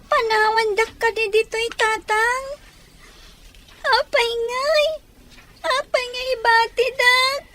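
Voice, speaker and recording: A woman's voice: very high-pitched, steady tone, slow, pauses often, sharp tone, faint music behind, full character voice. A woman acting a small, sad, obedient girl who clings to her father in an Ilocano radio drama, with an Ilocano accent. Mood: sad, pleading.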